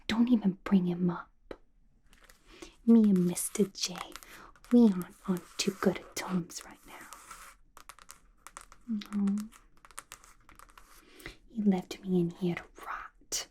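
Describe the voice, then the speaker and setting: A woman voicing a scatterbrained, gossipy, clingy young female villain in a breathy, intimate ASMR whisper, chatty and playful with slangy grammar. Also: medium pitch, fairly even intonation, slow, pauses often, dark tone, a little hiss or hum, natural voice. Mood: indignant. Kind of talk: ASMR roleplay, whispered to the listener.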